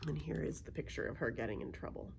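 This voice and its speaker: A woman's voice: sits low, animated, quick pace, vocal fry, background noise. A woman reading a children's book aloud as a storyteller, expressively acting out the dialogue.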